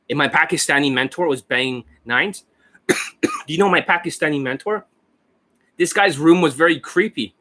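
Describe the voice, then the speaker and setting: A man coaching about dating who talks in a brash, profane, rambling way, hoarse and losing his voice, with coughs between lines. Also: medium pitch, sharp tone. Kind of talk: casual monologue over a screen share. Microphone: desk microphone.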